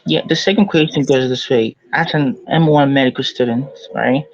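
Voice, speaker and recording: Creaky-voiced male interviewer, dull audio. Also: medium pitch. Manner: deliberate, peppered with "you know". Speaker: interviewer, host. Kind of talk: interview questions and appreciative remarks.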